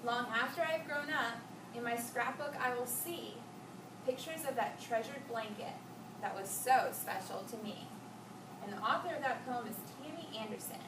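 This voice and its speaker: A woman's voice: a little high, moderate pace. A young woman, a student teacher, reading aloud and talking simply with young children. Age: young adult. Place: indoor school classroom with background noise. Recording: roomy, distant, noisy classroom recording.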